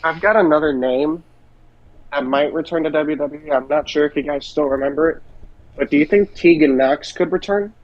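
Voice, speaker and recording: A man's voice: fairly high pitch, normal speed, no room sound. A man chatting casually about wrestling as a podcast co-host, through slightly muffled audio.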